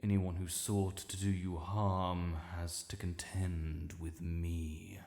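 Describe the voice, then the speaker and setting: In a long, cavernous reverb, an ancient dragon lord played by a man speaks slowly with a British accent. The voice is low and stately, proud and honorable, with the formal bearing of a stern guardian in a fantasy audio drama. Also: flat delivery, gravelly, a little mellow, full character voice. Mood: grave, stately. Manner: formal, proud, measured and imperious. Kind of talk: fantasy audio roleplay addressed to the listener.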